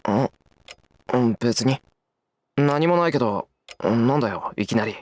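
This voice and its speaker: Man voicing quick, casual teenage schoolboy. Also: mid-range voice, lively intonation, darkish tone, natural voice. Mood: guarded. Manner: blunt, informal.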